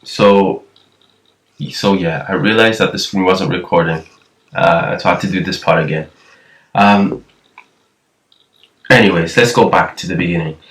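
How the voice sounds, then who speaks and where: Thin-voiced man, lowish pitch, loose rambling tutor, recorded from a distance. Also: a little slow, long pauses, fairly bright tone. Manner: rambling, with frequent restarts. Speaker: nasheed production tutor. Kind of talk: casual screen-recorded tutorial. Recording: echoey room, far from the mic.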